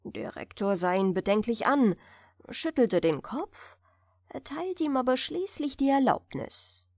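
A woman reads a bedtime fairy tale in a fairly high, very expressive voice. She takes it at an unhurried pace, close to the microphone, like an audiobook. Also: dry recording. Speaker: audiobook narrator.

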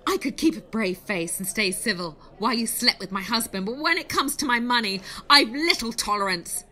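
Scorned wife, played by an actress, highish bright voice, furious and accusing, for a murder mystery podcast. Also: female voice, expressive, moderate pace. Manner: sharp, accusing, dramatic. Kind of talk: audio drama acting.

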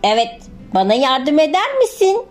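A woman's voice: high voice, big pitch swings, moderate pace, soft background music. A woman voicing an impatient, eager young caterpillar in a children's storybook, with a complaining childlike delivery.

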